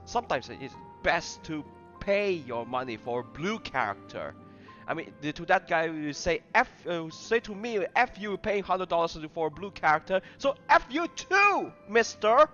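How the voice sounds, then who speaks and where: A man giving casual gameplay commentary in a halting, rambling way, often repeating his phrases. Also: a little high, very animated, sharp tone, lo-fi sound, soft background music. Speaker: gamer commenting on his own play.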